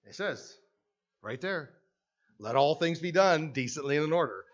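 An older Canadian man preaches in a church sanctuary, his voice sitting high for a man. He talks in a loose, conversational way, restarting phrases and checking in with "right?", often walking away from the podium mic. The recording is a little dull and lo-fi. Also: lively intonation, moderate pace. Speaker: pastor. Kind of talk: sermon. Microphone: podium mic, speaker often walking away from it.

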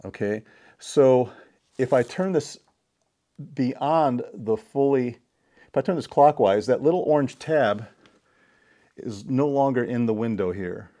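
A middle-aged man giving a casual, deliberate, step-by-step hands-on repair tutorial. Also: mid-range voice, mellow. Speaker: DIY repair demonstrator. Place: indoor home workshop.

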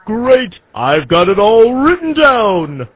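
Doting old Father Christmas, jolly, drawn-out hearty delivery, raspy, prank-call phone sound. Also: sits high, sing-song, no echo, heavily acted. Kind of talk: pre-recorded prank call acting. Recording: tinny phone line.

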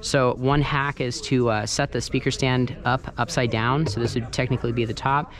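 A man's voice: mid-range voice, fairly even intonation, normal speed, fairly bright tone. A man giving a casual, hands-on tutorial as a sound engineer, talking informally while he works. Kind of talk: casual first-person tutorial walkthrough. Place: large carpeted banquet hall with wooden dance floor. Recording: noisy room ambience, clearly audible. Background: room noise and ambience.

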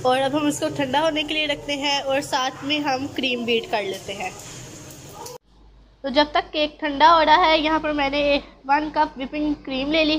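A woman's voice: high voice, slight background noise. A woman from Pakistan, patiently explaining a recipe step by step as a cooking channel host. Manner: patient, instructive, addresses viewers directly.

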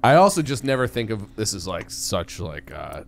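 A man co-hosts a studio podcast, speaking in an easygoing, musing way. His voice is low, with lively swings in pitch. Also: normal speed, creaky, a little hiss or hum. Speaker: podcast co-host. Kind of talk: casual podcast chat.

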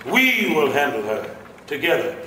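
A man playing a noble gargoyle leader, solemn, commanding and deliberate, in a live radio play. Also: fairly high pitch, huge pitch swings. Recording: echoey distant live hall, slight noise.

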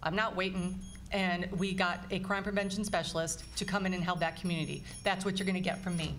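A woman's voice: lowish voice, fairly even intonation, a little fast, a bit bright, a little hiss or hum. Earnest woman candidate at a table mic. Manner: earnest, upbeat, emphatic lists. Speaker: political candidate. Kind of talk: candidate forum speech. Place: meeting room with small audience.

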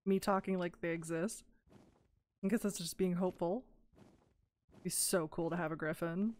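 A young woman casually commentating her Minecraft let's play, excitable and gushing over cute animals, a little hoarse. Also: on the low side, moderate pace. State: slightly hoarse. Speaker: gaming YouTuber.

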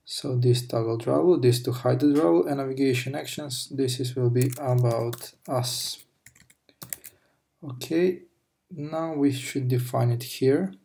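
A man narrates a programming tutorial while working on screen, picking his words slowly and correcting himself as he goes. His voice is dark and mellow with a gravelly edge. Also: medium pitch. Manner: slow, halting explanation with fillers and self-corrections. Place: at a desk, recorded over screen capture.

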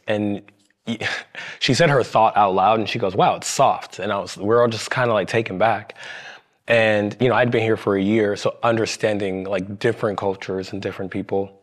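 Young male podcast guest from Ohio, low voice, halting candid storytelling, studio set. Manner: halting, many restarts and fillers.

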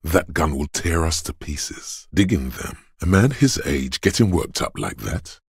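A man voicing the grim inner voice of a body's endurance in a video game, raspy and gravelly, narrating slowly and ominously in the second person. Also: very deep voice, animated, dry recording, studio quality, full character voice. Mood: grave, resolute. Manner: slow, weighty, ominous.

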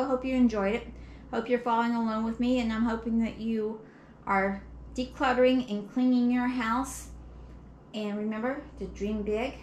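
A middle-aged woman talks casually to a camera across her kitchen, explaining each step plainly. Her voice sits fairly high. The room adds a noticeable echo, and there is clear background noise behind her. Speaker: home cleaning vlogger. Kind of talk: casual instructional vlog talk to camera. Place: home kitchen, indoors. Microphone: camera a few metres away.

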